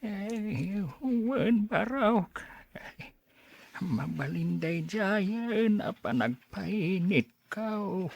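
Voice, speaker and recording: A woman playing a frail, kindly elderly father in an audio drama, with a raspy, halting delivery. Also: mid-range voice, expressive, darkish tone, full character voice. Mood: gentle, weary. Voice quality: raspy, creaky. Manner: halting, slow. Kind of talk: radio drama acting.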